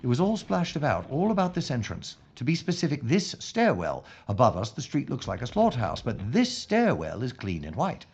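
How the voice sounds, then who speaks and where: A man playing a courteous, unflappable police inspector in wartime London, dryly understated and deliberate, in an audio drama. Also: mid-range voice, huge pitch swings, darkish tone, breathy edge, muffled recording, exaggerated voice. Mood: grave, deducing.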